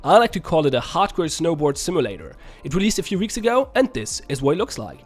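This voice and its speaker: A man's voice: on the high side, big pitch swings, fast, slightly rough, soft background music. A young man, a little sick, casually commenting on a game as he plays, rambling and correcting himself often. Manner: rambling, self-correcting, laughs at own plays. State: a little sick, voice slightly rough. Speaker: game reviewer.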